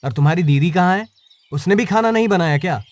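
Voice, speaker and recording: A man playing a troubled woodcutter and family man in a cartoon story, earnest and measured. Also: sits high, animated, no echo. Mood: concerned. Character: weary, troubled woodcutter, husband and father. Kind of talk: Hindi cartoon story dialogue. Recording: music under the voice. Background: music.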